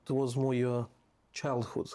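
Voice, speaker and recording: A man's voice: medium pitch, level tone, a little slow, mellow. A man, asking questions as a podcast host in a casual interview.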